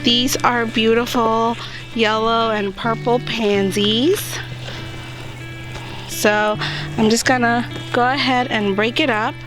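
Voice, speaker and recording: A woman with a slightly hoarse voice, hosting a gardening vlog in an upbeat, chatty way as she walks through each step outdoors. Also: on the high side, steady tone, takes it slowly, rough recording. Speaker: gardening channel host. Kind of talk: casual how-to chat to camera. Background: background music.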